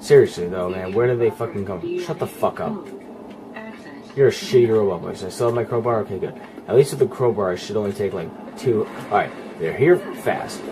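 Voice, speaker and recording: Low-voiced, foul-mouthed gamer guy, noisy recording. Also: brisk. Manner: profane, unfiltered, talking to the game. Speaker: gamer playing and commenting. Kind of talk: casual horror game playthrough commentary. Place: indoors, filming a TV screen while playing. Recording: noisy room capture with game sound.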